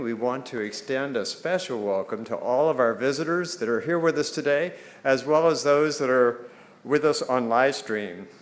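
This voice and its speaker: A man's voice: mid-range voice, a bit bright. A man speaking to a congregation as a board member, welcoming visitors and reading out announcements. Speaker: church board trustee giving welcome announcements. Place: church service before a congregation.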